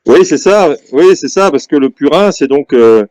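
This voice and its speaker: A man from Normandy in a casual video-call chat, halting and restarting often. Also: on the high side, animated, a little mellow, a little hiss or hum, rough recording. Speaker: participant.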